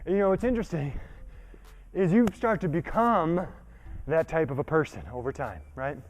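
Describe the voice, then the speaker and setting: A man's voice: on the high side, expressive, normal speed, darkish tone. A man leading a workout while giving a motivational talk, conversational and emphatic, with frequent check-ins and some breathlessness from exertion. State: exerting, getting winded. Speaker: fitness trainer leading a workout. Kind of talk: motivational talk during exercise.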